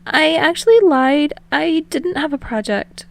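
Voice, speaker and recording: A woman voicing a bubbly, earnest young man in love, with a hesitant, breathy, eager delivery in an audiobook. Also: sits high, lively intonation, measured pace, pitched above the performer's own voice, heavily acted. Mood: sheepish.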